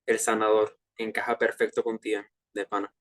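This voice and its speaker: A man's voice: fairly low pitch, normal speed. Young Venezuelan man, chatty and casual. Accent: Venezuelan Spanish. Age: 20s. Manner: relaxed and chatty, with frequent filler hesitations and slang. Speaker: student presenter of a finance workshop. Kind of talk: casual online presentation to peers.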